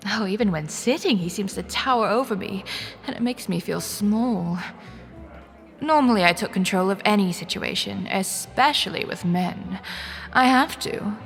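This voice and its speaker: A woman's voice: medium pitch, vocal fry, fairly bright tone. A woman playing an anxious, sardonic young woman, narrating and acting in a first-person romance audio drama. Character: anxious, sardonic young woman, broke and flustered, defiant underneath.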